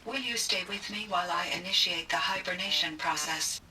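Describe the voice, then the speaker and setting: A woman playing an earnest artificial intelligence in a video game, speaking politely and with measured formality. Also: fairly low pitch, far from the mic, background noise, natural voice. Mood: calm, dutiful. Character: female AI, earnest, afraid, formal and dutiful.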